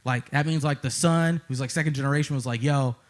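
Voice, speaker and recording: A man's voice: mid-range voice, fairly even intonation, quick pace. A man hosting a podcast in casual, joking banter with friends. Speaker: podcast host.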